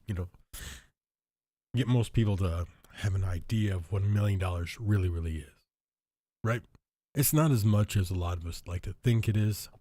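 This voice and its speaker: A deep-voiced man with a husky, worn-out sound, hoarse and a bit stuffy, talks casually to his viewers. He rambles, circles back, and fills pauses with "uh" and "um". His mellow voice sits in a dry, close recording. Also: no room sound. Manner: rambling, thinking aloud with many fillers and restarts. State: hoarse, stuffy after illness. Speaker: show host. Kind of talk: casual solo talk to viewers.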